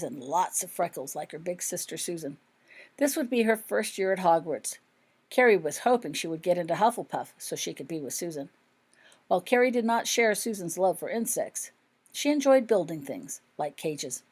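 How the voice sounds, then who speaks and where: A woman's voice: lowish voice, lively intonation, normal speed, darkish tone. A woman reading her own fiction aloud as scripted audiobook narration.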